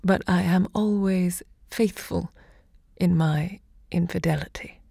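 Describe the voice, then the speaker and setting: An actress playing a passionate, proud literary hostess and lover, narrating an audio drama with dramatic, deliberate delivery. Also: female voice, lowish voice, creaky, mellow, husky, dry recording, clean studio sound, exaggerated voice. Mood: ardent, proud.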